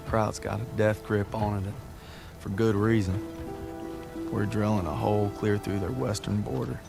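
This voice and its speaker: Weary young soldier, low and gravelly, reflective letter-home narration for a war game. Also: male voice, level tone, moderate pace, music in the background. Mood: somber, reflective.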